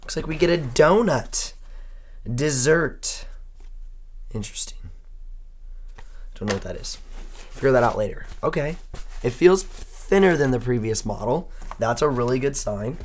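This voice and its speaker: A young man with a hoarse voice he says he has partly lost, chatting upbeat and thinking aloud as he unboxes and reviews a laptop. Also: mid-range voice, expressive, a little slow, pauses often, a little hiss or hum. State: hoarse, losing his voice. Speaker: tech reviewer. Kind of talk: casual unboxing talk.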